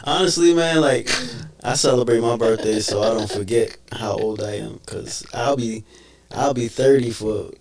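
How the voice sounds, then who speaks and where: A man's voice: mid-range voice, moderate pace, darkish tone. Chill guy late 30s, telling a story in casual chat. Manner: easygoing storyteller. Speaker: talk show co-host.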